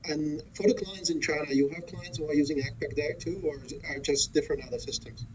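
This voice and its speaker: A man's voice: a little high, quick pace, a little hiss or hum, poor audio. Hesitant man on a business call. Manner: hesitant, restarting, frequent "you know". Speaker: prospective business partner.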